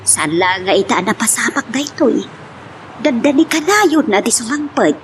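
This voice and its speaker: A woman acting a concerned mother of a grown daughter in an amateur drama, with a husky voice and Ilocano Filipino accent. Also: on the high side, animated, moderate pace, a little hiss or hum, lightly acted. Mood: concerned, gently probing.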